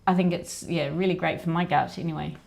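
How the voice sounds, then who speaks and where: A woman's voice: deep voice, fairly even intonation, fairly quick, vocal fry, a little mellow. A middle-aged woman co-hosting a livestream, telling everyday stories in a chatty, easygoing way. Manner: chatty storytelling, frequent laughs and backchannels. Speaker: co-host of a weekly livestream. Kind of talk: casual chat.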